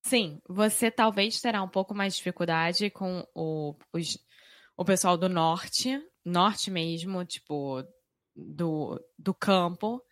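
A woman from Rio de Janeiro with a Carioca accent, co-hosting a casual podcast, frank and lively, laughing easily. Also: lowish voice, takes it slowly, no room sound, studio quality. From Rio de Janeiro, Brazil. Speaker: podcast co-host, Portuguese teacher.

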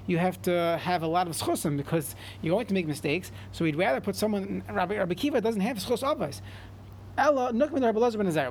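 High-voiced man teaching Talmud. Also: slight background noise. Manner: reads in chant then translates, frequent restarts. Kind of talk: Talmud class, reading and translating.

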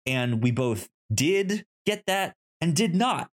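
A man hosting a podcast in a casual, chatty style, sometimes halting and repeating words as he searches for phrasing. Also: on the high side, very animated. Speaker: podcast host.

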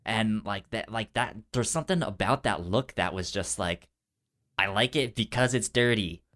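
A man's voice: fairly low pitch, normal speed, fairly bright tone. A man co-hosting a casual film discussion, stuttering often as he repeats sounds and syllables. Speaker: podcast co-host.

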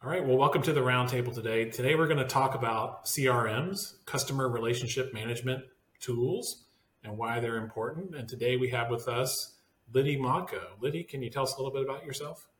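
A man's voice: medium pitch, a little fast, a bit bright. Rambling male podcast host, echoey room. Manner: rambling, hedging with fillers. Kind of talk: casual roundtable chat.

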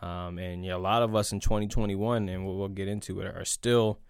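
A man's voice: sits low, fairly even intonation, fairly quick, smooth, no room sound, studio quality. A young man hosting a casual interview, talking candidly with many fillers and restarts. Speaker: podcast host.

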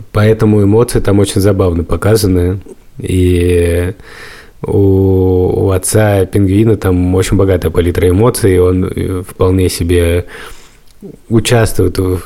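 Low, mellow-voiced man chatting on a podcast. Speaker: podcast host. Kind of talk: casual podcast discussion.

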